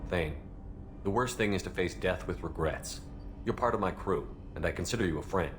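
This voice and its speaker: A man playing a human military commander in a video game, steady and supportive, with a direct, plainspoken manner. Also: deep voice, normal speed, noisy background, lightly acted. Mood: earnest, supportive. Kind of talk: video game dialogue acting.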